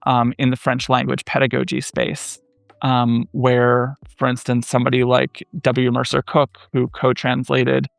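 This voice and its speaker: A man in his thirties talks through academic ideas in a podcast interview, pausing often to find his words. His voice has a gravelly fry and stays flat and even. The sound is dry and close, with faint music in the background. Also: mid-range voice, dry recording. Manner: thoughtful, many "um" pauses, measured. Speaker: podcast guest, French studies scholar.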